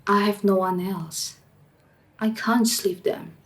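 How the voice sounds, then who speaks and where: A woman playing a gentle, lonely village woman in love in a radio drama. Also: mid-range voice, fairly even intonation, slow pace, lightly acted. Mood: sad, worried. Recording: faint background noise.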